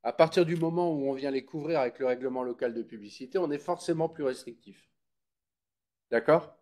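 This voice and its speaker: A man's voice: mid-range voice, a little fast, darkish tone. A man explaining things at a public meeting in an informal, didactic way, checking that listeners follow. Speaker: presenter at a public consultation meeting. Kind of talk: explanatory public presentation with question-taking.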